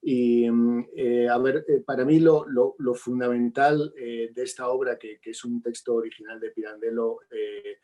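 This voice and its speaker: Even-toned Spanish-speaking man, hesitant. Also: mid-range voice, moderate pace, few pauses. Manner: hesitant, searching for words. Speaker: theatre director. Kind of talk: unscripted post-show Q&A.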